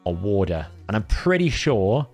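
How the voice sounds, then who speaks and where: A Welsh man with a lowish voice talks through his game plan on a live stream, chatty and thinking out loud as he goes. Welsh was his first language, and he often stops to correct himself mid-sentence. Faint music plays under his voice. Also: very animated, moderate pace. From Wales. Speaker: livestream host. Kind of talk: casual gameplay commentary.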